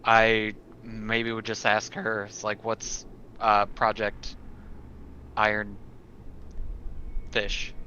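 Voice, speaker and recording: Slow, tentative man with a bright voice. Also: on the low side, completely flat delivery. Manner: tentative, thinking aloud. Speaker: player in a tabletop roleplaying game. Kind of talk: casual game-table chat. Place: indoors at a computer, online game session. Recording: dull lo-fi voice chat, background noise.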